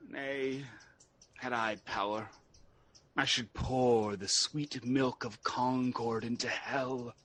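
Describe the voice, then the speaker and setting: Husky, slow, impassioned young prince, acted by a man. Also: on the high side, pushed and bright, heavily acted. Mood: anguished, rising. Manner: halting, theatrical, impassioned delivery. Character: young exiled prince feigning depravity, anguished and fervent. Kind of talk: Shakespearean radio drama acting.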